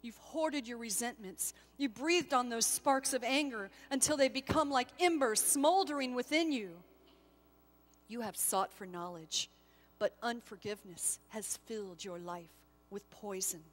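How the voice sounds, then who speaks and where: Woman voicing a grave, high-voiced angel, calm and firm. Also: in a large hall. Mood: stern, compassionate. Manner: grave, measured, admonishing. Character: solemn, firm male angel guarding the Christ child.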